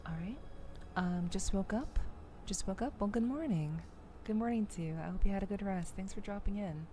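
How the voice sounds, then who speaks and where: A woman hosting a soft-spoken ASMR gaming livestream, chatting casually with viewers and murmuring hesitantly as she thinks aloud, half whispering at times. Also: medium pitch, a little fast, slight background noise. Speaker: VTuber livestream host. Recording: loud music behind the voice. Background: music.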